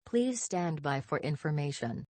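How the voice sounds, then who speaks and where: A synthetic woman's voice reading scripted emergency broadcast announcements in a stiff, impersonal, measured way. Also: low-pitched, lively intonation, mellow, no room sound.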